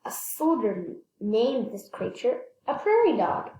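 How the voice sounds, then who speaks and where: A woman reading a children's picture book aloud, slightly halting, repeating phrases and adding casual asides. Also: on the high side, sing-song, warm tone. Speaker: read-aloud storyteller. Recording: echoey room.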